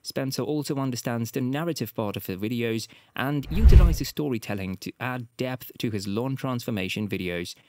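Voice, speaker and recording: A man's voice: medium pitch, moderate pace, hardly any pauses, a little mellow, dry recording. Dry, close-miked male voiceover narrator. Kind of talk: scripted documentary voiceover.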